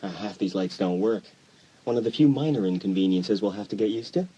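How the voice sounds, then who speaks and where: A man's voice: on the low side, animated, brisk, dark tone, slightly rough. A man playing a teasing, nonchalant teenage stepbrother in an audio drama, casual and wry. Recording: faintly noisy old cassette.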